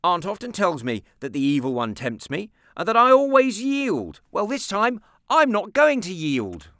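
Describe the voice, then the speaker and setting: Man playing a high-voiced, cheeky, prim little boy. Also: huge pitch swings, normal speed, fairly bright tone, no echo, clean studio sound, exaggerated voice. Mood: mock-innocent. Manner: mock-innocent, prim, gleeful. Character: mischievous, sly, mock-innocent little Edwardian boy.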